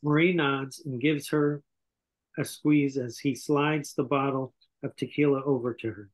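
Older man reading stage directions, slightly halting. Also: medium pitch, fairly even intonation. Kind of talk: scripted narration at a table reading. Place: indoor room on a video call. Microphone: video call.

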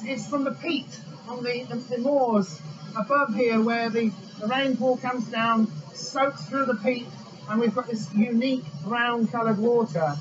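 A middle-aged man explains as an expert, projecting a very high-pitched voice. He speaks outdoors with clear rushing water noise, and the sound is slightly dull. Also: steady tone. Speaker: geology expert. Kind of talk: unscripted explanation in an interview. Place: outdoors beside a roaring waterfall.

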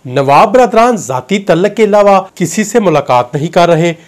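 A man's voice: high-pitched, expressive, moderate pace. A man in his thirties with a Pakistani Urdu accent, presenting scripted political news in a formal, declamatory way from a small studio, holding a handheld mic. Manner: formal, declamatory, with gesturing hands. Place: studio in front of a dark backdrop. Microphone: handheld mic held at chest.

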